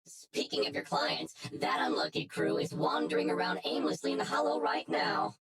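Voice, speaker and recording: A woman playing a menacing, smug hacker in a game cutscene, taunting deliberately and theatrically, with music behind her. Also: fairly bright tone, natural voice. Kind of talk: dramatic game cutscene acting.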